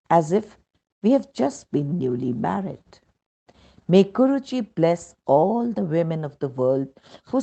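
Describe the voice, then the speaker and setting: Deep-voiced Indian woman reading a devotional testimonial. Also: creaky, mellow. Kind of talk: scripted devotional narration, read aloud. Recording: dull, muffled lo-fi sound.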